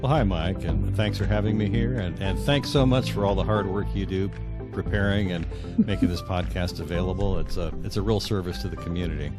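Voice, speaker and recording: A man's voice: sits low, brisk, loud music behind the voice. A middle-aged American man from Iowa answering casually as an interview guest, often repeating words as he goes. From northwest Iowa, United States. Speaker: podcast guest, fiction author.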